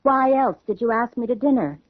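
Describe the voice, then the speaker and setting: Animated, inquisitive friend. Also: female voice, fairly high pitch, a little fast, no echo. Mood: prying. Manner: probing, conversational. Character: inquisitive, skeptical woman friend. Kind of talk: radio drama acting. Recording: thin phone-like vintage radio recording.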